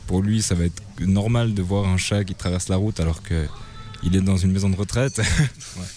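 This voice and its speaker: Guy from a rock band, lowish voice, easygoing explaining. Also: normal speed, mellow, faint music behind. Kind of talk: casual interview answers.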